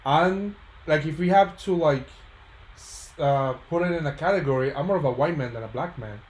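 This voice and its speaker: A man from the Dominican Republic chatting casually in an animated way, often checking in with his listeners. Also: a little high, normal speed. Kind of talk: casual chat. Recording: roomy echo, faint background noise.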